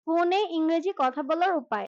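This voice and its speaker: A woman reading a scripted language lesson dialogue line by line as a teacher, in a deliberate manner. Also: very high voice, animated, no room sound, highs cut off. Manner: slow deliberate English, brisk translations. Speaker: English teacher.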